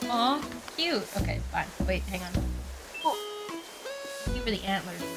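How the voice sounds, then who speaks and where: A woman's voice: on the high side, creaky, poor audio. Young woman streamer, kinda scatterbrained, lots of ums, bit of a cold, game music going in the back. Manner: soft laughs, reads and answers chat. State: has a mild cold. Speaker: livestreamer. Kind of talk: casual live-stream chat while playing a game. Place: room at home with the window open.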